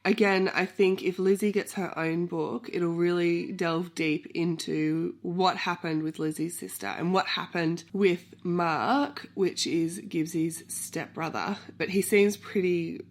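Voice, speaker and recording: A woman book vlogger with a cold, sounding nasal, giving a chatty check-in on her reading. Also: lowish voice.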